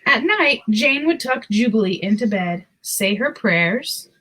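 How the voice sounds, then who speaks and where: Female storybook reader, high husky voice, expressive and unhurried, chatty between passages. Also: low-quality recording. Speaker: host of a picture book read-aloud. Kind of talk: storybook read-aloud with casual asides.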